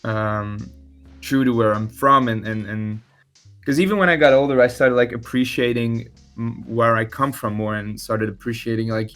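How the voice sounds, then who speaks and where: Young man, belgian accent, dutch native speaker, halting with fillers, mid pitch, faint music behind. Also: steady tone, a bit bright. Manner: hesitant, many fillers and restarts. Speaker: musician guest. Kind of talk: casual podcast interview.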